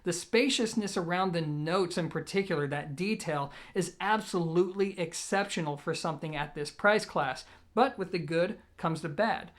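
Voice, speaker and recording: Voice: mid-range voice, moderate pace, few pauses. Informal gear reviewer speaking straight to viewers. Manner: informal, addresses viewers directly, emphatic openers to points. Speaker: host, product reviewer. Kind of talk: semi-scripted audio gear video review.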